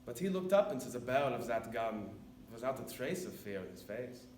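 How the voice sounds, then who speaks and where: A man performing a dramatic monologue as a haunted, repentant older former camp officer, speaking solemnly and deliberately with weighty pauses. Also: mid-range voice, big pitch swings, darkish tone, close to an everyday voice. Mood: subdued, remorseful. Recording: echoey room, distant mic, faint noise.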